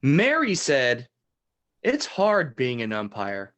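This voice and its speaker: A man's voice: mid-range voice, huge pitch swings, fairly bright tone, exaggerated voice. A man playing an upbeat, teasing parody game show host who stretches out questions with theatrical emphasis. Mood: showy, teasing. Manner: repeats questions with drawn-out emphasis.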